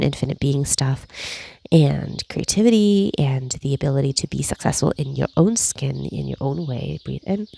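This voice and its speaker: A woman leading a guided energy clearing session, speaking slowly and soothingly, with repeated cues and breathy sighs. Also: low-pitched, dark tone, dry recording. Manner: slow, soothing, repetitive guiding with breathy sighs. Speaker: energy healing facilitator.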